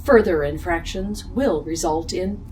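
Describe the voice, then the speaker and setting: A woman's voice: lowish voice, a little slow, noisy background, natural voice. Woman acting as a stilted officious female robot, slightly distant. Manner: formal, clipped, stilted phrasing. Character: officious literal-minded female robot, glitchy and rule-bound. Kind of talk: audio drama acting.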